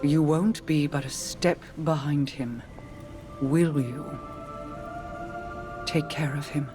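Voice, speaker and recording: A woman's voice: low voice, steady tone, slow, music in the background. A woman playing a regal, guarded queen and protective sister in a video game, speaking formally and solemnly. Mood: concerned. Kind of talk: scripted video game drama.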